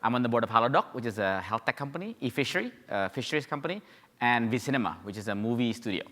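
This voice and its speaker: A man's voice: medium pitch, lively intonation, sharp tone. A man from Indonesia giving a fluent self-introduction as a panelist at a forum, in a conference hall. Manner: fluent, brisk and organized. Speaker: panelist, company founder. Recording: long hall reverb.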